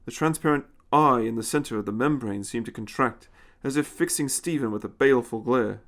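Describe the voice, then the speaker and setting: A man's voice: medium pitch, brisk, fairly bright tone. A man narrating a scripted audio story.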